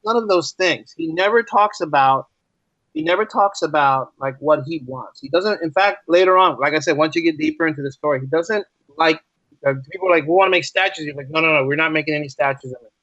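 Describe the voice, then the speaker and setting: A man's voice: a little high, quick pace, dry recording, dull audio. A man in a casual interview, explaining his thoughts as a writer and guest, often repeating phrases for emphasis. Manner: animated explaining, repeats phrases, acts out imagined quotes.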